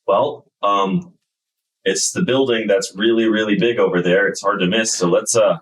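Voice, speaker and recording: A man's voice: on the low side, fairly even intonation, normal speed, far from the mic, poor audio. A man narrating a tabletop game as game master, explaining at length with many fillers.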